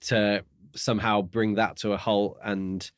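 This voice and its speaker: A man with a fairly low voice chats as a podcast co-host about a book. He muses tentatively, feeling his way through theories. The recording is very dry and free of echo. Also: flat delivery, measured pace. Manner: tentative, musing, theorising aloud. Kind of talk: casual book discussion.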